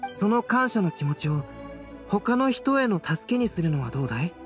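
An actor playing a calm, cerebral young male scholar in a Japanese anime game, speaking in a composed, gentle, thoughtful way. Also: sits high, moderate pace. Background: background game music.

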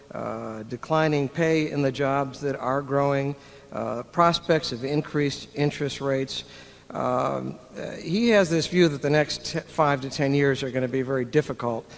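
A man's voice: fairly high pitch, vocal fry. A man in the audience asks a long question, hesitant and full of fillers. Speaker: audience member asking a question. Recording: reverberant large hall.

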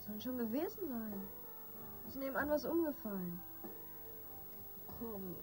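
A woman's voice: a little high, lively intonation, a little slow, over music, rough recording. An actress playing a brusque, impatient young woman in a German radio crime drama, curt, slangy and dismissive. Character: brusque, impatient young woman brushing off her lover's worries.

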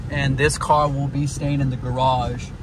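Man from houston, car vlogger, relaxed rambling talk, car recording with clear road and rain noise. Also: mid-range voice, moderate pace. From Houston, Texas. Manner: relaxed, rambling, repeats himself. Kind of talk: casual vlog while driving. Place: inside a car driving in rain. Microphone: phone camera mounted in car.

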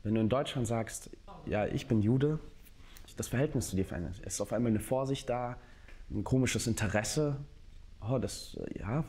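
A man giving reflective personal testimony, searching for his words in a halting way. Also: fairly low pitch, mellow. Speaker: interviewee, actor. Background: music.

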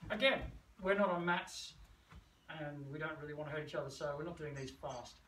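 A middle-aged male martial arts teacher demonstrating as he talks, casual and playful, punching out moves with sound words. He is picked up by a camera some way off on a covered veranda, with roomy echo and clear background noise. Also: fairly high pitch, fairly quick, fairly bright tone. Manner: physically active while talking. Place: covered brick veranda outdoors. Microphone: camera several metres away. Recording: distant camera mic, roomy, noisy.

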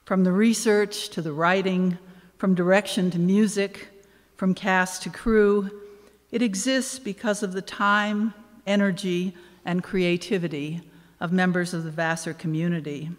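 A woman of around seventy giving a measured, formal welcome speech with dry humor from a podium microphone on stage. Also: fairly low pitch, fairly even intonation. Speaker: event host, anniversary committee co-chair. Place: on stage in a large hall. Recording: reverberant concert hall.

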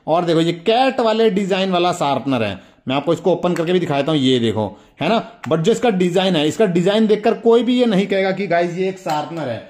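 A man's voice: high voice, lively intonation, a little fast, few pauses, darkish tone. A man presenting items hands-on in a casual review style, often addressing viewers directly with short check-in tags. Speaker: toy review host. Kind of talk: casual hands-on toy showcase.

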